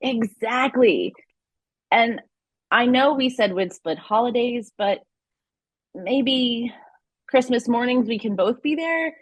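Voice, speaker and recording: Woman playing a soft-hearted mom in an audio drama, kinda halting, bit husky, dry sound. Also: fairly high pitch, expressive, no echo. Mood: tentative, warm. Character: Sandra, gentle, hesitant soon-to-be ex-wife and mother. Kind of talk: audio play acting.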